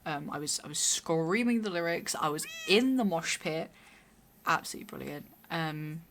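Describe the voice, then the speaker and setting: A young woman with a hoarse, raspy voice, chatting casually and rambling while streaming a game. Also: low voice, expressive, moderate pace, a bit bright, a little hiss or hum. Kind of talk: casual chat while gaming.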